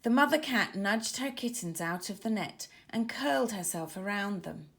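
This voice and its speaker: A woman narrating a scripted children's story in a dramatic, expressive manner. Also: mid-range voice, normal speed, gravelly. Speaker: author narrator.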